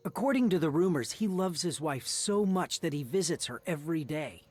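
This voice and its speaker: A man's voice: on the high side, expressive, moderate pace, over loud music, lightly acted. A man playing a stern but loving father and upright judge, with a grave, dramatic delivery in a mystery game. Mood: urgent, accusing.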